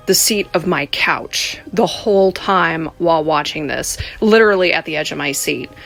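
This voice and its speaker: A woman's voice: fairly high pitch, fairly bright tone. A woman leaving casual feedback as a podcast listener, talking in a conversational, musing way. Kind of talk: casual personal commentary.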